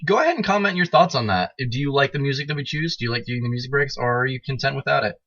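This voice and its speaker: A man's voice: medium pitch, expressive, very fast, fairly bright tone. Young guy cohosting a podcast, medium voice, lots of uhs and ums, kinda muffled lo-fi audio. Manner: hesitant, many fillers and restarts. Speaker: podcast co-host. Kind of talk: casual chat. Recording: dull lo-fi recording.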